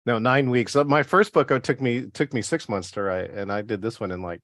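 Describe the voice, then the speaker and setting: Relaxed man explaining, dry sound. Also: medium pitch, a little fast, no echo. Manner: relaxed, explains in long runs with "right?". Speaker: host and author. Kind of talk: casual interview chat. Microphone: video call.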